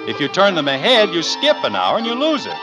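A man's voice: on the high side, huge pitch swings, fairly quick, over music. An American man playing a harried, dryly sarcastic middle-aged family father in a 1950s radio sitcom. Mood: dryly exasperated. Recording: muffled, noisy old radio recording.

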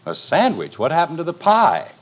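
A man's voice: on the high side, wildly swooping pitch, normal speed. An actor playing an exasperated, stubborn middle-aged father in an old radio sitcom, grumbling and arguing emphatically. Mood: insistent, blustering. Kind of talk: 1940s-50s radio sitcom acting. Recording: noisy old radio recording.

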